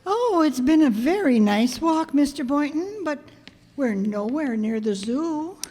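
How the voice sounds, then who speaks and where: Woman acting a high-voiced wry schoolteacher, echoey hall. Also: expressive, measured pace, warm tone. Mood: coy, delighted. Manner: dry, wisecracking. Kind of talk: old-time radio comedy acting. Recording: echoey hall, long reverb.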